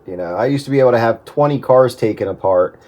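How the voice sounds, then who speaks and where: A man's voice: medium pitch, fast, darkish tone. A middle-aged man chatting casually over amateur radio in a rambling way, often restarting his sentences.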